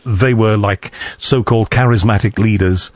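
A man's voice: fairly low pitch, fairly even intonation, moderate pace, no room sound. A man hosting a radio talk show, chatting casually and rambling with frequent restarts and self-deprecating asides. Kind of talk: casual on-air chat. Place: radio studio.

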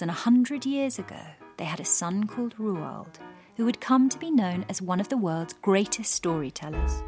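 Woman narrating a documentary, gently wry. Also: mid-range voice. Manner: measured, gently wry storytelling.

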